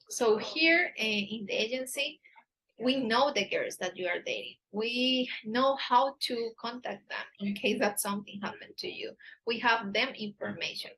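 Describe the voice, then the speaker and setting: A woman's voice: medium pitch, pushed and bright, breathy edge, poor audio. A Colombian woman with a Paisa accent, a native Spanish speaker, giving earnest advice on a live Q&A in careful English full of fillers. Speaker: dating agency staff on a live Q&A.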